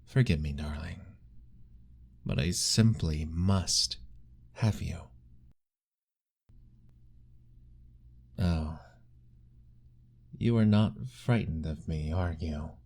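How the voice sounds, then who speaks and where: A man voicing an ancient, lovestruck male vampire lord in an ASMR roleplay, formal and soft-spoken, pleading gently. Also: low voice, slow, long pauses, exaggerated voice. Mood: gentle.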